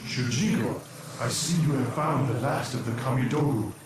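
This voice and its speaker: An actor playing a stern, commanding spirit guide in a fantasy video game, speaking formally and portentously in measured, cryptic lines. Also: male voice, medium pitch, far from the mic, close to an everyday voice. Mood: grave, foreboding. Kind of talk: scripted fantasy game dialogue. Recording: echoing voice set back, loud music behind.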